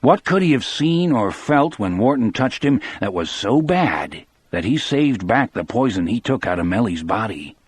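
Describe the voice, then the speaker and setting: Man acting blunt husky-voiced prison guard. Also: fairly low pitch, big pitch swings, moderate pace. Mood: puzzled, probing. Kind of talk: audiobook character dialogue.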